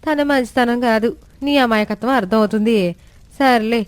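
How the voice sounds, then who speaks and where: A woman's voice: a little high, normal speed, clear, resonant tone, no echo, natural voice. A woman voicing a scheming, envious middle-aged aunt who stirs up quarrels in a family story. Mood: sly.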